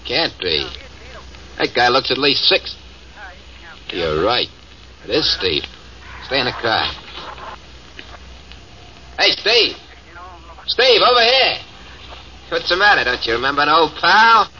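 A man's voice: mid-range voice, very animated, slow pace, long pauses, bright, forceful tone, dull audio, slight background noise, heavily acted. A man acting a boastful, scheming small-time gangster, brash and smug, in 1940s radio drama style. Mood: swaggering.

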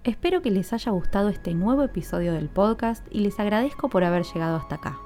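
A woman's voice: medium pitch, lively intonation, fast talker, over music. A woman narrating a scripted podcast in an explanatory way, speaking directly to listeners. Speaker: podcast host.

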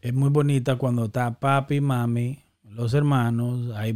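A man's voice: mid-range voice, steady tone, unhurried, a little mellow. A man, joking and slangy in a casual chat, often cutting in with questions.

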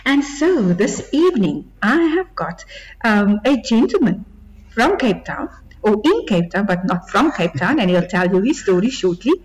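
A woman hosting a faith show, praying earnestly and then gently interviewing her guest. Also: medium pitch, sing-song, a little hiss or hum, poor audio. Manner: earnest, prayerful, unhurried. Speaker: radio show host.

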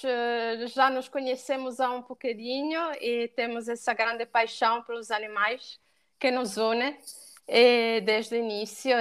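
Italian-accented woman guest, smooth voice. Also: fairly high pitch. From Italy. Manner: warm, fluent with frequent fillers and restarts. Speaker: pet behaviour coach, guest. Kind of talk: podcast interview.

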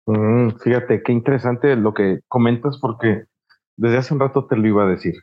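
A man's voice: mid-range voice, moderate pace, lo-fi sound. A Mexican man from Morelos, a guest on a casual podcast, speaking with animation and repeating phrases as he builds his point.

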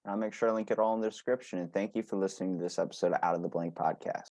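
A man's voice: sits low, fairly even intonation, racing. A man hosting a podcast in casual chat, self-deprecating and free with swearing. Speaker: podcast host.

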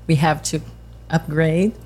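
A woman whose first language is Portuguese, chatting as a podcast guest in halting English with light laughs. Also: sits low, very animated, breathy edge. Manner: halting non-native English, soft laughs. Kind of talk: casual chat.

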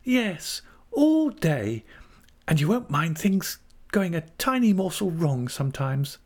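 A man's voice: on the high side, very animated, slow pace, voice pushed up, heavily acted. A man voicing a timid, childlike young wife, affectionate and naive, with a pleading, cooing delivery in an audiobook of a classic novel. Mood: hopeful.

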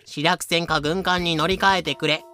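A man's voice: on the high side, fairly even intonation, moderate pace, bright, forceful tone. A man delivering scripted dramatic narration as the storyteller.

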